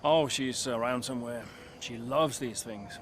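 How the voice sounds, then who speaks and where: Terse veteran racing driver over noisy ambience, acted. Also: male voice, medium pitch, measured pace, lightly acted. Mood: guarded. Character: proud, wounded veteran male racing driver. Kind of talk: cinematic video game acting.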